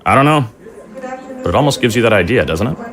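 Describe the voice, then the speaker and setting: An actor playing a measured, slightly weary middle-aged father in an audio drama. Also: medium pitch, expressive, fairly bright tone. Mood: reserved, reflective.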